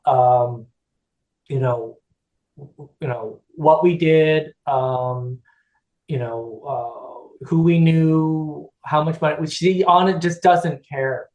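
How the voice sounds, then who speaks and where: A man's voice: on the high side, drawn-out words, a little mellow, muffled recording. Rambling middle-aged man in an echoey room. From United States (California). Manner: rambling, many restarts and fillers, laughs easily. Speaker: podcast guest. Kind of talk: casual chat.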